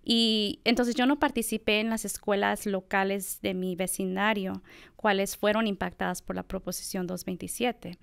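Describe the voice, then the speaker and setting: Middle-aged woman academic, reflective interviewee, gravelly but clear, dry close sound. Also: medium pitch, steady tone, moderate pace, dry recording. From United States. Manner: thoughtful, reflective, many fillers. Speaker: assistant professor of bilingual education. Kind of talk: conversational interview.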